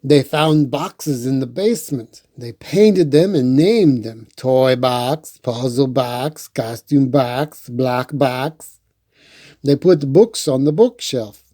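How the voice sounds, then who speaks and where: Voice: mid-range voice, takes it slowly, warm tone. A storytime host reading a picture book aloud and adding playful, rambling, chatty asides.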